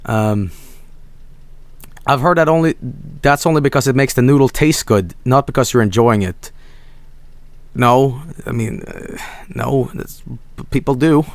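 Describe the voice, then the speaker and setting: A hoarse man, chatting casually on a stream, rambling with many restarts and soft asides. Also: medium pitch, measured pace, pauses often, no room sound. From Sweden.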